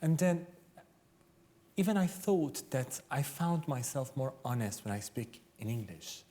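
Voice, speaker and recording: Male debate panellist, native Persian speaker with that accent. Candid, halting, personal delivery. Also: mid-range voice, expressive, warm tone. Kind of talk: personal reflection in a live debate. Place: theatre stage, live panel debate.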